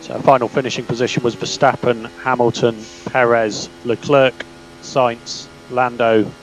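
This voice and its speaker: A man working as a race engineer, giving calm, measured, clipped instructions over team radio. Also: medium pitch, soft background music. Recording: noisy radio link with car hum. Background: car engine hum.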